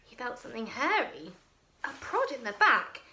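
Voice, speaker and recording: A woman reading a children's picture book aloud as a storyteller, with expressive, deliberate and dramatic delivery. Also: a little high.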